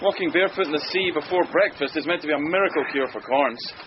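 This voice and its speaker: An actor playing an earnest, polite, patient police sergeant in a radio mystery drama. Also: male voice, fairly high pitch, fast, natural voice. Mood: earnest, deferential. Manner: polite, methodical, deferential. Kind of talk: radio comedy-mystery acting. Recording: dull lo-fi sound, noisy ambience.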